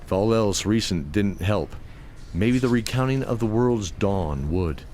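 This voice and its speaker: A man's voice: lowish voice, expressive, a little slow, darkish tone, a little hiss or hum. A man narrating a scripted fantasy audio drama as its storyteller. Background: prominent background music.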